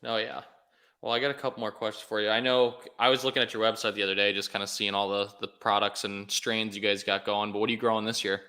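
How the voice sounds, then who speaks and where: Bright, low-pitched man hosting a casual chat. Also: little pitch movement, fast, clean tone. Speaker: interviewer, host.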